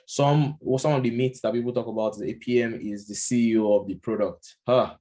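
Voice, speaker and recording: A man's voice: mid-range voice, steady tone, fairly quick, dark tone. A man with a Nigerian accent giving an unscripted lesson, talking conversationally with plenty of fillers and restarts. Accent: Nigerian English. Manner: conversational, many fillers and restarts, occasional chuckles. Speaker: product management instructor. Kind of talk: casual unscripted lecture.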